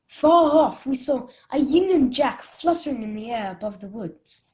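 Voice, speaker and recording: An earnest young boy adventurer, played by a woman in a radio drama. The voice is high and youthful, telling the tale as a story and speaking up as its young hero. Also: expressive, normal speed, a little mellow. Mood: earnest, suspenseful. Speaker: narrator and lead character.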